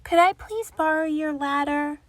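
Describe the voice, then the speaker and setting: A woman's voice: high-pitched, a little slow, a bit bright, no echo, pitched above the performer's own voice. A woman voicing a timid, polite little male mole in a children's storybook, apologetic and hesitant. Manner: stammering, apologetic.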